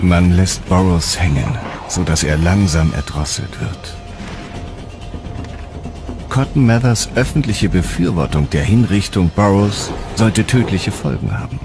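A man with a low-pitched voice narrates a documentary. His delivery is scripted and dramatic, set over clearly audible music. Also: expressive, a little slow.

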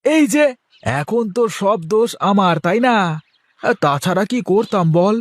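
A man's voice: sits high, lively intonation, measured pace, no echo. A man voicing a plain-living village vegetable seller in a cartoon, wheedling and defensive, full of colloquial interjections. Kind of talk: cartoon character dialogue.

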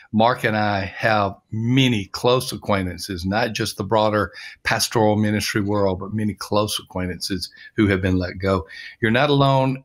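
An older man with a fairly low voice speaks from his home study over a video call. He hosts in a measured, affirming way, with a dry, wry edge now and then. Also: fairly bright tone. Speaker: podcast host. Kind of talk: casual podcast conversation.

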